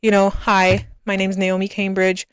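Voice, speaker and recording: Casting: woman, early twenties, from Barbados, smooth clear voice, casual reflective podcast host, close dry sound. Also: medium pitch, completely flat delivery, moderate pace, no room sound.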